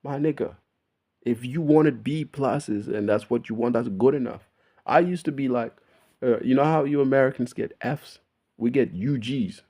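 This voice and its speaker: A man's voice: medium pitch, normal speed, warm tone. A man with a Melbourne Australian accent, talking casually straight to his viewers in a laid-back, swearing monologue. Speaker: YouTuber.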